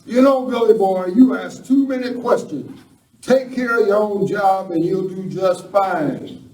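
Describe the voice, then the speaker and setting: Man acting a slow, high-voiced seasoned cowboy outlaw. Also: a little mellow. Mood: dismissive. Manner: slow, folksy, admonishing. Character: seasoned male outlaw hand, gruffly advising the kid. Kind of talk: Western radio play acting. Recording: echoey hall, distant mic, faint noise.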